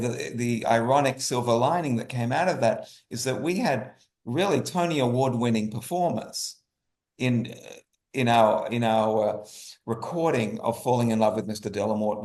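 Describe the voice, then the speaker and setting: A male guest talks in an interview with a medium-pitched voice. He circles back over his phrases, restating them emphatically. The sound is roomy and echoey. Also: moderate pace, a little mellow. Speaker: guest, writer. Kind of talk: interview conversation.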